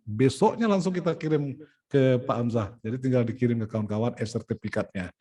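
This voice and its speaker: A man's voice: medium pitch, fairly quick, dark tone. A middle-aged man from Indonesia, an event organizer and poet, explaining plans step by step in a meeting. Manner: explaining logistics step by step, frequent "nah" and "ya". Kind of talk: planning meeting talk.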